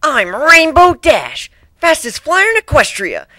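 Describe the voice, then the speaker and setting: Cartoon female pegasus speedster , done by a reader; brash, boastful, high and forceful. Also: huge pitch swings, unhurried, no echo, clean studio sound, full character voice. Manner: cocky, puffed up.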